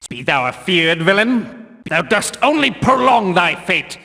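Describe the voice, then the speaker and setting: An actor playing a stern, zealous religious guard in a video game, declaiming pompously in archaic English. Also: male voice, high voice, sing-song, unhurried, gravelly, pushed and bright, in a large hall, heavily acted. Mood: wrathful, righteous.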